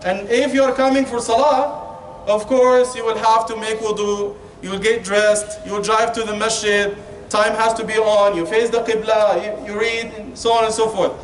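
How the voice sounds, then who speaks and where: A man's voice: sits high, level tone, normal speed. A man with an Egyptian Arabic accent giving an informal, humorous conversational sermon as an Islamic preacher, often asking rhetorical questions and saying "you know". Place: indoor Islamic centre hall. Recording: echoey room, distant mic, faint noise.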